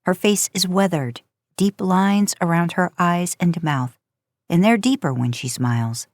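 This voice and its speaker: Low-voiced woman reading a story aloud. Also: unhurried, darkish tone, dry recording. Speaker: podcast host and fan fiction narrator. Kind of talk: scripted story narration, audiobook reading.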